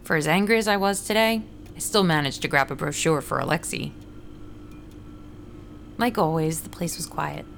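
Everyday mother, notably low voice, gentle explanatory delivery, audio drama. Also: moderate pace, vocal fry, pauses often, a little hiss or hum. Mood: weary narration. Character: apologetic working mother Jess, narrating her day. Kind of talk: scripted audio drama narration and dialogue.

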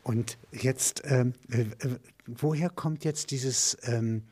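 Husky man asking halting questions. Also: medium pitch, dark tone. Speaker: interviewer. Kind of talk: conversational interview.

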